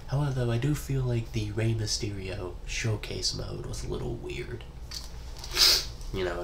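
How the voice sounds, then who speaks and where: A man's voice: fairly low pitch, noisy background. A young man with a congested, stuffy voice from a cold, rambling casually about a video game and swearing now and then. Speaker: gaming YouTuber. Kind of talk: casual solo gaming commentary.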